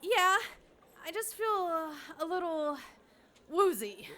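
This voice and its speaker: A very high, bright voice of a pregnant young woman in labor, breathless and crying out. She swings her pitch widely and drags her words slowly. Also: expressive, a little hiss or hum, full character voice. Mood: uneasy. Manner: panicked, breathless cries. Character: pregnant young woman, anxious and sharp-tongued.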